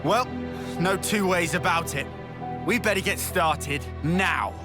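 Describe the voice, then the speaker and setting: A man's voice: high voice, takes it slowly. An actor voicing a blunt, bold young male fighter in a video game cutscene. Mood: resolute. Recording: loud game music behind voice.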